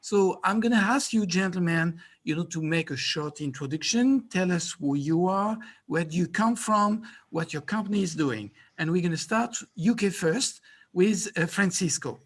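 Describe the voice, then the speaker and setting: A man moderating a conference panel in a relaxed way, leaning on "you know" fillers. His voice sits slightly higher than average. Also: moderate pace.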